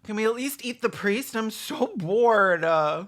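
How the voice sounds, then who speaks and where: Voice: medium pitch, expressive, measured pace, a bit bright, faint music behind. Snarky reaction host with a dry delivery. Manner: snarky, dry, crude joking. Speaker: host of a reaction video show. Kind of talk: sarcastic comic commentary.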